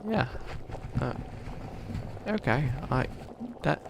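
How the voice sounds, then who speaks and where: A man playing a grandiose but bumbling evil witch in a comedic ASMR roleplay, breathy and often near a whisper. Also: medium pitch, animated, drawn-out words, noisy background, full character voice. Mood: fussy, sheepish. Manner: theatrical villain declarations breaking into rambling, self-doubting asides.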